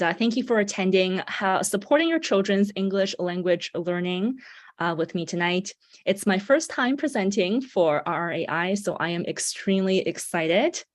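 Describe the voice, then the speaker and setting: Upbeat young woman giving an online talk. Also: medium pitch. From China. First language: Mandarin Chinese. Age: 20s. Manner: upbeat, with frequent um and uh fillers. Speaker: presenter. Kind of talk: online presentation. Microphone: video call.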